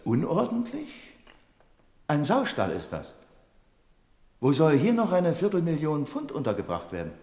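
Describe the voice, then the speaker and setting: An actor playing a pedantic, stern bank manager in a 1970s German radio play, commanding and reproachful. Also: male voice, fairly high pitch, huge pitch swings, measured pace, long pauses, a little mellow, exaggerated voice. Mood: stern, exasperated. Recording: echoey, reverberant room, old radio drama.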